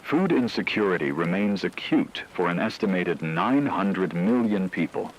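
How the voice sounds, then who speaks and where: A grave actor as a male radio announcer delivers a formal emergency briefing in a low voice. His delivery is measured, solemn and technical, with light noise behind it. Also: lively intonation, low-quality recording. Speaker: broadcast announcer. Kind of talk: scripted formal news briefing.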